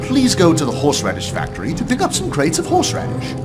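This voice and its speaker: Casting: avuncular, excitable game scientist, high-pitched with huge melodic swings. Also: male voice, fast talker, loud music behind the voice. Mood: cheerful. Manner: avuncular, enthusiastic.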